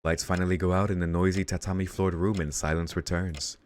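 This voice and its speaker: A man's voice: low voice, little pitch movement, a little fast. A man hosting a let's read, reading visual novel narration aloud and dropping in casual asides. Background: game music.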